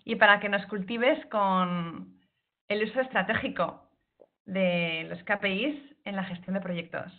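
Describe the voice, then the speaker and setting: A woman hosts an online talk, reading out the guest's introduction and brisk housekeeping notes in a mid-pitched voice. Her voice comes through a narrow, phone-like call connection. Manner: partly read introduction, brisk announcements. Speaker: event host. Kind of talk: introducing a webinar speaker. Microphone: video call.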